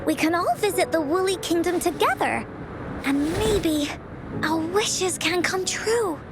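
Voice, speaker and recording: A woman voicing a cheerful, earnest little girl in a video game, sweet and childlike, with excitable, gushing delivery. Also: very high-pitched, measured pace, noisy background. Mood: hopeful, eager. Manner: excitable, gushing, with gasps and giggles. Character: cheerful, earnest little girl who adores her friends. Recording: clear music behind the voice. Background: game background music.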